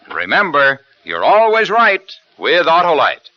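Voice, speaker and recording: A man announcing a radio commercial in an emphatic, lively sales delivery. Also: on the high side, a little slow, over music. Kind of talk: 1940s radio commercial pitch. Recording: dull old radio broadcast.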